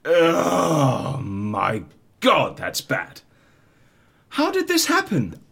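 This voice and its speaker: An actor playing an eccentric, bossy, exasperated secret agency interviewer with a theatrical, incredulous manner in a comedy audio drama. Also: male voice, a little high, huge pitch swings, drawn-out words, creaky, fairly bright tone, husky, exaggerated voice.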